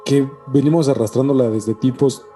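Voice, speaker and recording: Very dark-voiced Mexican man chatting casually. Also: medium pitch, steady tone, normal speed, faint music behind. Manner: slangy, reacting in short interjections. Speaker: podcast co-host. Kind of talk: casual chat.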